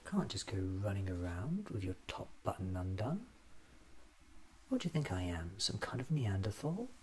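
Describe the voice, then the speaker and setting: A man in his thirties doing a soft-spoken ASMR roleplay as a fussy, eccentric doctor musing to himself, often slipping into a whisper. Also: low voice, very animated, moderate pace. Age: 30s. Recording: clearly audible background noise.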